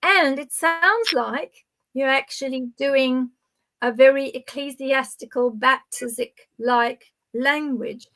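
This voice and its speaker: Older lady, highish voice, chatting to viewers like a spiritual teacher, encouraging. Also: slow, a bit bright. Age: 60s or older. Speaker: podcast guide speaking on spiritual topics.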